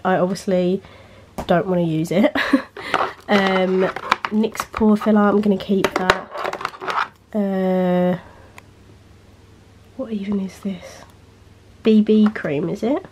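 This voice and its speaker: A woman's voice: mid-range voice, fairly even intonation, measured pace, long pauses, noisy background. A young woman, a beauty vlogger, chatting casually with lots of fillers while filming handheld in her bedroom, sounding nasal after being ill. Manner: rambling, filler-heavy, chatty. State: nasal, recovering from illness. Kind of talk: casual chat. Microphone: handheld camera, freehand.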